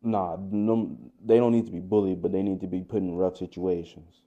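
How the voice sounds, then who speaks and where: A man's voice: low-pitched, level tone, normal speed. A young man as a podcast guest, talking in a reflective, laid-back way and often saying "you know what I'm saying". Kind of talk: casual interview chat. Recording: dull lo-fi sound.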